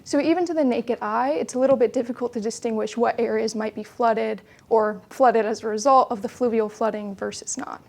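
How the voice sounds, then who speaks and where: A woman's voice: a little high, fairly quick, gravelly, hardly any pauses, darkish tone. A young adult woman giving an explanatory research presentation as a student, in an orderly, plain-spoken way.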